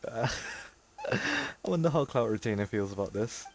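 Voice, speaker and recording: A woman's voice: extremely low pitch, expressive, normal speed, a little mellow, a little hiss or hum. A woman streamer giving laid-back, brief, casual reactions while playing a video game.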